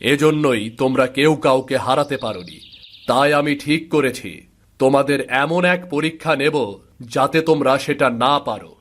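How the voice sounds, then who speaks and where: A man playing a middle-aged village father in a cartoon folktale, genial but firm, speaking in an emphatic, deliberate way. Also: mid-range voice, fairly bright tone, lightly acted. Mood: firm, instructing. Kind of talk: animated folktale dialogue acting. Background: background music.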